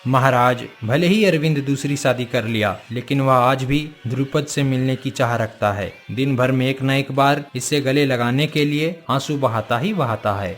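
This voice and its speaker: A man's voice: mid-range voice, moderate pace, hardly any pauses, faint music behind, close to an everyday voice. A man playing a wise, all-knowing forest guru who speaks in a solemn, formal and instructive way, in a cartoon moral story.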